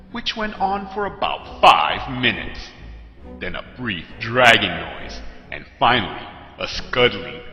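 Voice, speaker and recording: A man narrates a horror tale with suspenseful, dramatic delivery. His pitch moves in lively swings, and his voice sits in a long, roomy reverb over clearly audible music. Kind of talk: scripted scary story narration. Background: clear background music.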